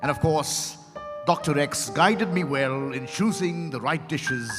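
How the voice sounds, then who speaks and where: An actor playing a chatty male dinner guest who savours his tale in theatrical first-person storytelling. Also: on the high side. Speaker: narrating character in a dramatized reading. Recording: echoey hall with long reverb. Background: piano music.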